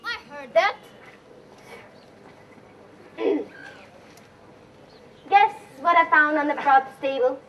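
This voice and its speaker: Girl acting bossy impatient young woman in a stage comedy, super high voice, distant noisy recording. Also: extremely slow, long pauses, pushed and bright, full character voice. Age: young adult. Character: bossy, impatient young woman pushing a Shakespeare parody along. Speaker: student actor in a school play. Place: school stage, recorded from afar.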